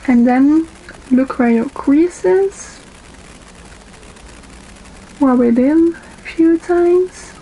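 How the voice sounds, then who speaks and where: Slow-talking Dutch-accented young woman. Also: high-pitched, dark tone, poor audio. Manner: unhurried, with frequent ums and pauses while applying makeup. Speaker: beauty vlogger. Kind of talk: makeup tutorial. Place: small bedroom indoors. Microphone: webcam in front of her.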